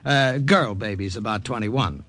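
An actor playing a young army sergeant and cartoonist who narrates in a breezy, jokey way, in 1940s radio acting style. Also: male voice, lowish voice, measured pace, fairly bright tone, dry recording. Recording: old radio broadcast with music behind.

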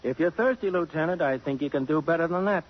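Man playing a high-voiced police sergeant. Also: fast talker, lightly acted. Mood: casual. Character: chatty male police sergeant, eager subordinate. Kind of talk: 1950s radio drama acting. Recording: muffled, noisy 1950s radio broadcast.

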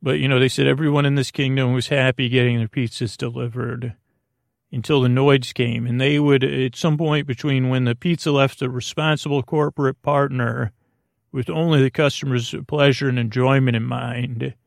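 Male podcast host for sleepy, goofy rambling; gravelly fry, mid-low everyday pitch, close dry sound, lots of stumbling restarts. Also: steady tone, no room sound. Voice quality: creaky, gravelly. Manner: halting, digressive, frequent false starts and repetitions; gently silly, goofy. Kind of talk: rambling, meandering solo bedtime podcast monologue.

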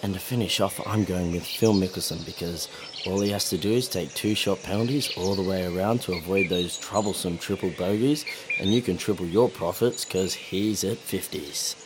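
A man with a gravelly voice, co-hosting a joking podcast. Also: deep voice, moderate pace, noisy background. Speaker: podcast co-host. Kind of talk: casual banter.

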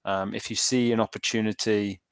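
A man in his thirties answering interview questions in a thoughtful, halting way, full of ums and restarts. His voice sits low and comes through smooth and resonant. The recording is close and dry, with little room sound. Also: flat delivery, dry recording. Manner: thoughtful, hesitant with many fillers and restarts. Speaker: entrepreneur guest.

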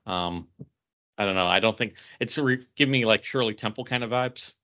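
A man's voice: lowish voice, normal speed, sharp tone, lo-fi sound. A man co-hosting a podcast in casual chat, rambling through tentative asides. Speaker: podcast co-host.

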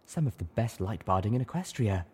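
A man's voice: on the low side, expressive, fairly quick, darkish tone, husky. A man voicing a refined, theatrical female unicorn in an audiobook, sing-song and gracious.

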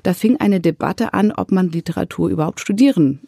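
Lady podcast host, mellow gravelly voice, dry sound, reads out the intro smoothly. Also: on the low side, animated, a little fast, no room sound. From Switzerland. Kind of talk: literary podcast interview.

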